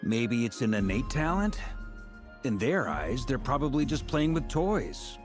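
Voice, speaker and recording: An actor playing an easygoing, hearty, upbeat adventurer in a video game, breezy and reassuring. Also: male voice, mid-range voice, sing-song, measured pace. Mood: relaxed. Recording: music behind the voice.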